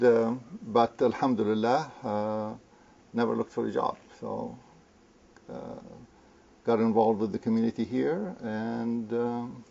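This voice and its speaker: An older man from Lebanon, a guest in an interview, talking hesitantly with many fillers and pauses. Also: mid-range voice, gravelly, darkish tone. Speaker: interview guest, community founder. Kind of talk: conversational interview. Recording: muffled lo-fi recording.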